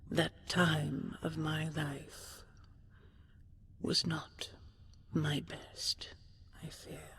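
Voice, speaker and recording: A woman playing an ancient dragon queen in an intimate sleep roleplay, tender, regal and wistful, speaking softly and breathily in slow, stately phrases, often half-whispering. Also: deep voice, little pitch movement, creaky, exaggerated voice. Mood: rueful, measured. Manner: slow, measured, stately, with lingering pauses.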